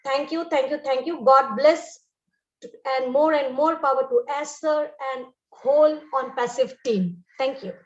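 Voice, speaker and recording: Woman gushing thanks on a webinar, high voice, muffled echoey audio. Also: a little slow. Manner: gushing, grateful. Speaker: community member giving testimonial. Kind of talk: emotive thank-you speech. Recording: echoey, dull call audio.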